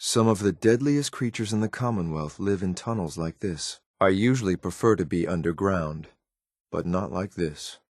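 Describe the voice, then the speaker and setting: A grim synthetic soldier from a video game, with a low voice in a dry, close recording. He speaks in clipped, deadpan phrases with cold disdain for the world around him. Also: no echo. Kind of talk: scripted video game companion lines.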